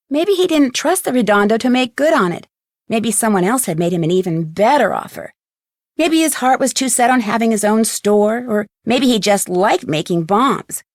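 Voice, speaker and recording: Wry teenage girl detective, played by a woman, higher voice. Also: dry recording, clean studio sound, lightly acted. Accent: American. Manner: wry, conversational storytelling. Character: witty teenage girl detective recounting a solved case. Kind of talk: scripted game epilogue narration.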